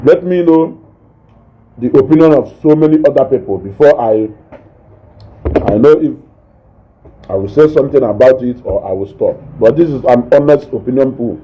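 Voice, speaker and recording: A man with a Nigerian accent and a naturally loud voice, hosting a casual live stream, reading viewer comments and mixing English with Igbo while he eats. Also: medium pitch, animated, moderate pace, long pauses, slight background noise, low-quality recording. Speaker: online show host reading viewer comments.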